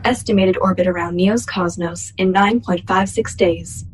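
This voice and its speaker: A woman voicing a starship's artificial intelligence in a sci-fi radio play, formal, measured and impassive as she recites a log. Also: lowish voice, noisy background, natural voice.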